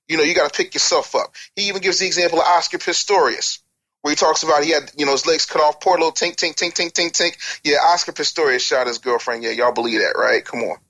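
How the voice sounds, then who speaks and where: A man's voice: on the high side, pushed and bright. A man speaking as an interview guest in an opinionated podcast monologue, emphatic and repeating phrases for effect.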